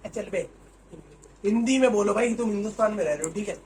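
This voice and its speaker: A man's voice: sits high, fairly quick, darkish tone. A man joking and teasing his family in casual banter. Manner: teasing, jokey. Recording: noisy, distant phone recording.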